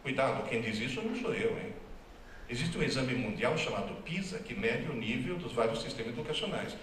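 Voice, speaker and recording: A man's voice: mid-range voice, expressive, fairly quick, a bit bright, slight background noise. Man lecturing in an echoey hall. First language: Italian. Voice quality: wry, teasing, emphatic. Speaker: lecturing professor. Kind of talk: humorous public lecture. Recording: distant, very echoey hall recording.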